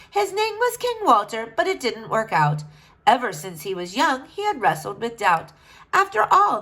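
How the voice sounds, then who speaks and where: A woman's voice: fairly high pitch, a bit bright. A woman reading a rhyming children's story aloud, theatrically, with sing-song emphasis. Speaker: storybook reader.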